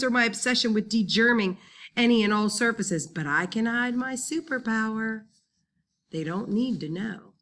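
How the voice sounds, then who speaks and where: A woman reading a first-person children's novel as an expressive, dramatic audiobook narrator. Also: medium pitch. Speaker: audiobook reader.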